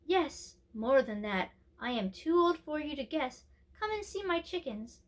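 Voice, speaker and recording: A woman voicing a very old, gentle and mysterious great-great-grandmother queen in a storybook, speaking patiently. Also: high-pitched, very animated, a little slow. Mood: gentle, knowing.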